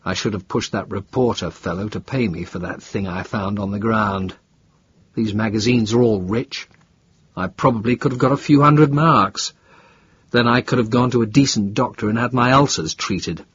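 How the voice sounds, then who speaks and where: A man voicing a middle-aged driver who grumbles about money and is easily flattered, for an audiobook. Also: on the low side, moderate pace, slight background noise, natural voice. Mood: grumbling. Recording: dull lo-fi, dry.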